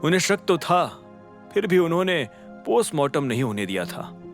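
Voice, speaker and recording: A man voicing a loyal older associate in an audio drama, serious, measured and confiding. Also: on the high side, big pitch swings. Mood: grave, confiding. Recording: music under the voice.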